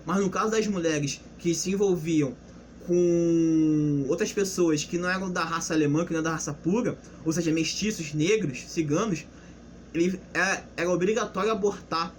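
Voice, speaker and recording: High-voiced young man explaining history. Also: moderate pace, a little hiss or hum. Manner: loose, unscripted explaining with restarts and rhetorical questions. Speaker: history presenter. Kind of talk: casual spoken history lesson.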